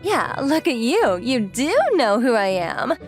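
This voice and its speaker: Woman acting a breezy young model, high lively voice. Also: unhurried. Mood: teasing, forward. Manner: forward, playful, casually inquisitive. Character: confident, teasing, breezy young female model and student. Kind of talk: anime-style dub acting. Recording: music behind the voice.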